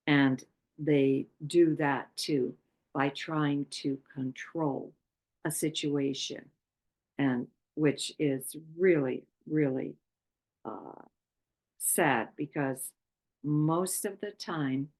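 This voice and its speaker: A woman from Poland giving an unscripted talk on chakras, speaking haltingly with many pauses and fillers. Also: low voice, darkish tone. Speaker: chakra workshop presenter.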